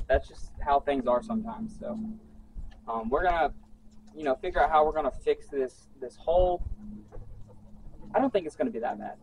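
A man's voice: on the high side, background noise. A man hosting a casual vlog, talking in an easygoing way outdoors. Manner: easygoing, swears when frustrated. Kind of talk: casual chat.

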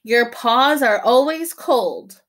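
A drowsy storybook mouse who turns down every request in a firm, matter-of-fact way, as a woman reading aloud puts him on. He speaks slowly and steadily, close to the reader's own voice. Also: medium pitch, animated, a bit bright. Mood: weary. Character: calm, sleepy grown-up boy mouse.

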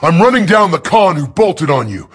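A man's voice: on the high side, sing-song, normal speed, a bit bright, husky, exaggerated voice. A man voicing a brash, show-off robot warrior for a video game, boastful, wisecracking and punchy. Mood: alert. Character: brash, cocky robot warrior who loves showing off.

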